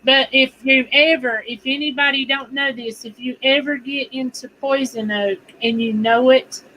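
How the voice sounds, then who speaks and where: A woman's voice: high voice, steady tone, moderate pace, pushed and bright, slight background noise, rough recording. A woman chatting casually on a livestream in a folksy way, giving homespun advice. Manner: folksy, laughs easily, gives home-remedy advice.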